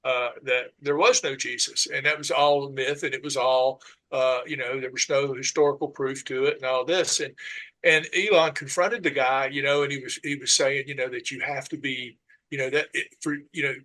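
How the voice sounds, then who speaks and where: Bright-voiced halting man. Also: medium pitch, steady tone, rough recording. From Southern US. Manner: halting, many "uh"s and "you know"s. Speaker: podcast guest. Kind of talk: casual conversation.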